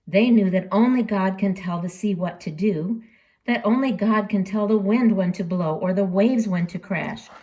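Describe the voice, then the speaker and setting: A woman storyteller reading expressively to children, dramatic in delivery, with deliberate pauses and emphasis. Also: medium pitch, warm tone.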